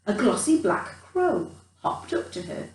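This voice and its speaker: A roomy recording, set back from the mic, carries a woman's storytelling voice. She reads for children with theatrical emphasis and a slow, swooping delivery, dropping in chatty asides. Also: mid-range voice, big pitch swings, a little mellow. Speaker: children's storyteller in character as a Christmas elf. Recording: roomy echo, distant from the mic.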